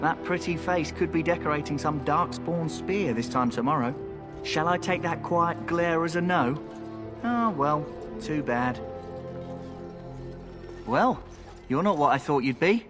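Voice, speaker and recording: An actor playing a cheeky, streetwise young rogue recruit in a fantasy video game, chatty and wry. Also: male voice, a little high, a little slow, pauses often, over music, lightly acted.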